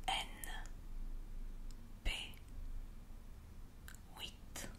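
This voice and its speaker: A woman speaking softly and deliberately in an ASMR medical roleplay, playing a polite doctor who guides a patient step by step. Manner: soft, deliberate, formally polite, explaining step by step. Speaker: ASMR creator playing a doctor giving an exam.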